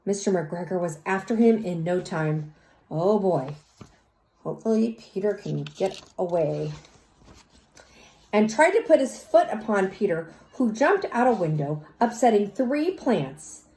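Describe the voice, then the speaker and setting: A woman reads a children's story aloud in an expressive, teacherly way, speaking as if to young listeners. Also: mid-range voice. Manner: teacherly, pauses to question and comment. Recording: roomy, echoey sound.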